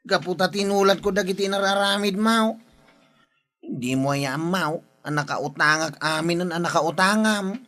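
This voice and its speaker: A woman's voice: fairly low pitch, normal speed, fairly bright tone, natural voice. A woman playing a grumbling, blaming village office colleague in an Ilocano comedy drama, with an Ilocano accent. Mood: annoyed.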